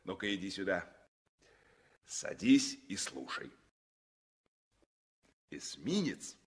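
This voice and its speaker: Husky, kindly sailor. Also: male voice, low-pitched, very animated, slow, heavily acted. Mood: patient, playful. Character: kindly sailor patiently teaching a little girl. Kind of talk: 1960s Soviet radio drama acting.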